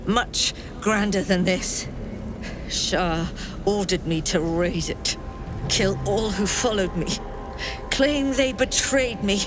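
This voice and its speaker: A woman's voice: medium pitch, slow pace, natural voice. A woman playing a proud, bitter cult leader and cruel mentor in a fantasy game, speaking in a grandiose, taunting way. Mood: solemn, unrepentant. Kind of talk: theatrical fantasy game acting. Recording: music playing behind the voice.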